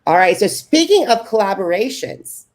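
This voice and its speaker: A man hosting a podcast interview, asking prepared questions in a deliberate way. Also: sits high, sing-song.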